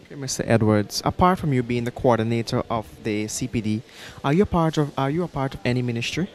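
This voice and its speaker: A man's voice: mid-range voice, animated, fast talker, a little hiss or hum. A Guyanese man interviewing a guest in a small church hall, asking formal questions politely into a handheld mic.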